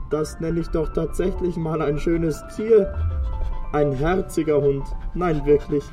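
A man's voice: on the high side, warm tone. A man playing an officious transit controller in a children's radio drama, formal and prone to gushing. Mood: doting, gushing. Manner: stiffly formal, swinging into doting baby talk. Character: officious male transit controller, stern then gushing over a dog. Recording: music behind the voice.

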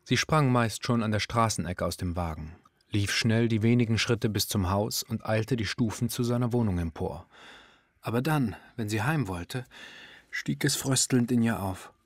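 An actor narrating a literary radio drama in German in a measured, sober way. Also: male voice, lowish voice, no echo, studio quality.

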